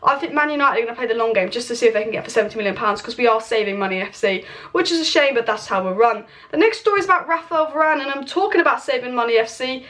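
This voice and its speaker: A young woman with a high voice gone hoarse rattles through football news at a quick clip. She sounds chatty and opinionated, talking straight to her viewers. She is recorded at home on a camera's built-in mic. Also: lively intonation, a bit bright. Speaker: football channel host. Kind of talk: casual transfer news update. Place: home with kitchen clatter nearby.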